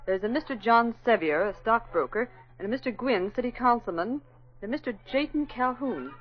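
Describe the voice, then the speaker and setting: A loyal, good-humored secretary in a 1940s radio drama. Her voice is fairly high, on a muffled old recording. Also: expressive, fairly quick, soft background music. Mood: businesslike.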